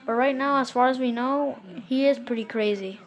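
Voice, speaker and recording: A woman improvising a scary story in a casual, halting and repetitive way. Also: high-pitched, steady tone.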